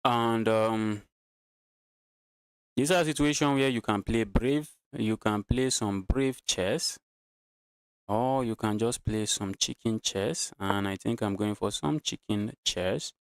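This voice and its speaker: A man's voice: on the low side, slow, clear, resonant tone, no room sound. A man from Nigeria with a Nigerian accent, giving casual live commentary on his own game as a streamer, thinking aloud, repeating phrases and chatting to viewers. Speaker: chess streamer.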